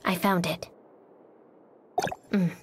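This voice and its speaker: A woman voicing a cold, reserved, melancholy young swordswoman for a video game, speaking softly and breathily in a quiet, detached, formal manner. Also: on the low side, fairly even intonation, very slow, noisy background. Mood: cool, detached. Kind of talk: scripted game character voice lines.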